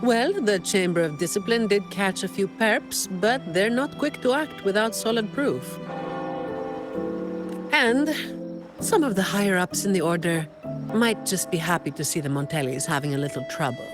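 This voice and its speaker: A woman's voice: mid-range voice, normal speed, lightly acted. Woman acting wry, work-weary young operative, music behind. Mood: matter-of-fact. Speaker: video game voice actor. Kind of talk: scripted game dialogue.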